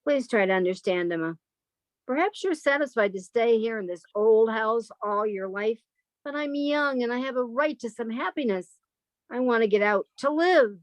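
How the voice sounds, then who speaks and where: High, earnest, sheltered country spinster played by an actress. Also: female voice, lively intonation, normal speed, no echo, natural voice. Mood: defiant. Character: sheltered, romantic, yearning country spinster of thirty-five. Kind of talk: old-time radio melodrama acting.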